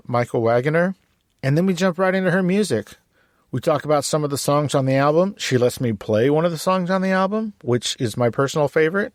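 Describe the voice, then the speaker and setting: A man's voice: fairly high pitch, no room sound. Guy hosting a chill podcast, self-deprecating jokes, dry sound. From San Antonio, Texas. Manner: jokey, laid-back, self-deprecating. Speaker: podcast host. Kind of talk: casual podcast interview.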